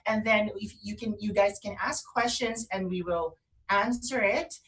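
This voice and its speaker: A woman's voice: medium pitch, moderate pace, fairly bright tone, far from the mic, low-quality recording. A woman co-hosting a casual chat over a video call. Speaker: co-host.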